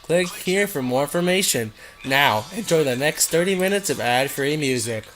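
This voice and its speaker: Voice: medium pitch, animated, normal speed, a bit bright. A host casually reading fan fiction aloud and rambling off into irreverent, giggly side comments. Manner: giggly, irreverent, easily sidetracked.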